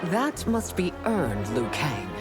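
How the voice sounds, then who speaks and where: A woman's voice: sits low, very animated, slow, loud music behind the voice, heavily acted. A woman playing a proud, guarded empress in a fighting video game, stern and formal. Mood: wary, reproachful.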